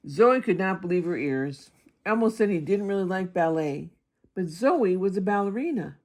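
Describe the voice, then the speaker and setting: A woman's voice: medium pitch, animated, normal speed, darkish tone. A woman narrating a children's picture book aloud as a storybook reader.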